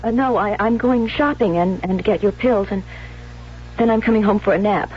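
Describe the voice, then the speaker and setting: A woman's voice: mid-range voice, normal speed, slight background noise, lightly acted. An actress playing a jealous, obsessive young woman in an intense, theatrical 1970s radio drama style. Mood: nervous, evasive. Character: jealous, obsessive young bride-to-be turned murderess. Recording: dull vintage radio recording with music.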